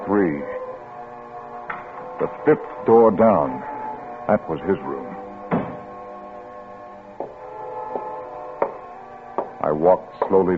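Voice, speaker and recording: Haunted grieving father, old tinny radio. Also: low voice. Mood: haunted, brooding. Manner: halting, haunted delivery swinging into anguished outbursts. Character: grief-stricken vengeful father narrating his own crime. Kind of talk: 1950s radio suspense drama acting. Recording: tinny vintage radio recording with music.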